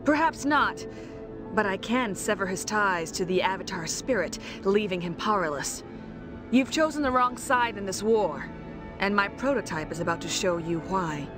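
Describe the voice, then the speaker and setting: A woman's voice: mid-range voice, animated, music in the background, natural voice. Woman acting a cool, determined young female villain. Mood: menacing. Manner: measured, menacing. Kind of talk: cartoon game voice acting.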